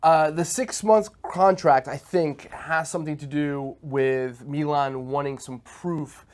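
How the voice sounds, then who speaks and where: A man's voice: on the high side, fairly even intonation, slow, a little mellow. A man co-hosting a sports talk show, giving analytical, stat-heavy takes in a casual tone.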